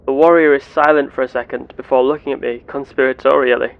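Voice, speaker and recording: A man narrating his gameplay in character as an earnest, curious starship captain, with formal, deliberate wording. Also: medium pitch, steady tone, creaky, clean tone, phone-quality sound. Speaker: player of a roleplay game series.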